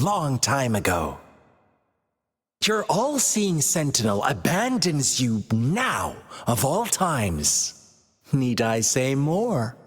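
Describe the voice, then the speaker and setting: An actor playing a mocking young male villain in a game cutscene, theatrical and sly, teasing with drawn-out lines and sudden whispers. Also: medium pitch, a bit bright, slightly rough, big-room sound, heavily acted. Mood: gloating. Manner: playful taunting, teasing drawn-out delivery with whispers. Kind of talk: video game cutscene voice acting.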